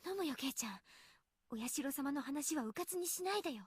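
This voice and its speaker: A woman's voice: high voice, lively intonation, racing, no echo, studio quality. An actress voicing a brash teenage tomboy girl in a game, talking hesitantly and stammering. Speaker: game character.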